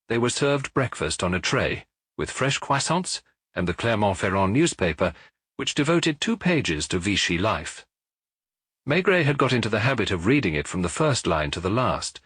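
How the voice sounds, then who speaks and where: A man reading a detective novel aloud in a measured, expressive storytelling manner on a close studio mic. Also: on the low side, breathy edge, no room sound. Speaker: audiobook reader. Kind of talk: scripted narration of a detective novel.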